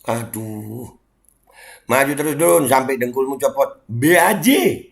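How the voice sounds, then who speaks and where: A man's voice: expressive, takes it slowly, vocal fry. Heated, mocking Betawi-accented man. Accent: Jakarta Betawi. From Indonesia. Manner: mocking, heated, laughs and sighs often; swings between low mutters and loud indignant outbursts. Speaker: commentator reacting to social media posts. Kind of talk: casual commentary reading comments.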